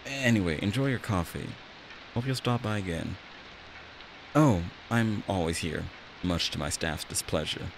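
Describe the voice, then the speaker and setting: A man acting out an ASMR roleplay as a friendly, chatty barista, talking in a gently reassuring way. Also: low-pitched, unhurried, rough recording. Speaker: voice actor in an audio roleplay. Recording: steady rain and hiss behind voice. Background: rain.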